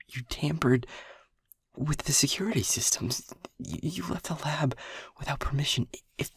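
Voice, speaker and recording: A man voices an anxious young lab technician in an audio roleplay, stammering through hushed, breathy whispers. His voice is gravelly and very husky, recorded close and dry with no room sound. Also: medium pitch, dry recording, heavily acted. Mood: frightened whisper.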